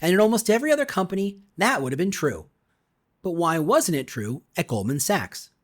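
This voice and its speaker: A man's voice: high-pitched, big pitch swings, fairly quick. A man reading his own nonfiction book in a conversational way, with rhetorical questions and emphatic asides. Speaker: author reading own audiobook. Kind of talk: conversational scripted nonfiction narration.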